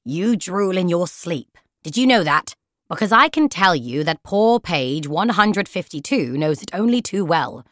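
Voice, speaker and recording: A woman's voice: lowish voice, big pitch swings, a little slow, fairly bright tone, no room sound. A fussy talking etiquette book from a children's story, put on by a woman. It is snarky and easily indignant, tutting with disapproval, in a close, dry sound. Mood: disapproving. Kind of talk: children's audiobook character voice.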